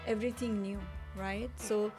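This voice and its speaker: A woman from Nepal, a guest spa owner, talking in a casual interview in an earnest way, often pausing to search for words. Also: mid-range voice, fairly even intonation, music in the background.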